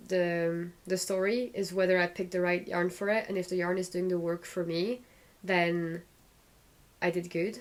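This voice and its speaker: A woman hosting a casual knitting podcast, rambling and restarting her sentences as she knits, her voice a little croaky. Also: lowish voice. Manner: rambling, self-correcting, chatty. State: slightly croaky voice. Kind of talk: casual knit-and-chat vlog.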